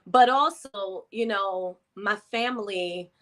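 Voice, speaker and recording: A woman's voice: mid-range voice, takes it slowly, a bit bright. A woman, a guest talking frankly and laughing easily during an interview. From the Bronx, New York. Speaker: guest performer. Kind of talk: casual interview chat.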